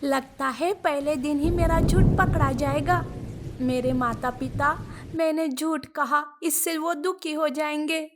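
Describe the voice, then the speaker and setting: Cartoon schoolboy played by a woman: poor, dutiful, anxious. High-pitched, unhurried, earnest delivery. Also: heavy background noise. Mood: worried, pleading. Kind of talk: Hindi moral-story cartoon dubbing.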